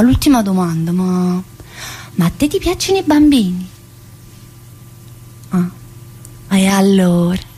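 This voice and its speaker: A woman's voice: on the high side, a little mellow, slightly rough, heavily acted. An actress playing a brash, restless middle-aged female prison inmate in a theatrical monologue, with a southern Italian accent and a chatty, confrontational manner. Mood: teasing, nosy. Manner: chatty, confrontational, with frequent interjections and questions. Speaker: stage actress performing a monologue.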